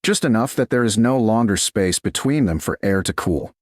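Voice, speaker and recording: Low-pitched male narrator with very lively intonation. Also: moderate pace, dry recording, studio quality. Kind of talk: scripted audiobook narration of a romance.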